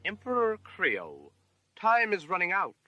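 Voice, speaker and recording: An actor playing a menacing, cold baron in a sci-fi audio drama, speaking slowly and theatrically as he threatens. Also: sits high, huge pitch swings, sharp tone, no room sound, faint music behind, heavily acted. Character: menacing villainous baron, cold interrogator of a captive emperor.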